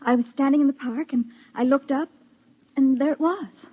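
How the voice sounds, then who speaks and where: A woman playing a fragile, lonely, weary young woman in an old-time radio drama, halting and haunted. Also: sits high, muffled recording, lightly acted. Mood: hushed wonder. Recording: vintage radio drama recording.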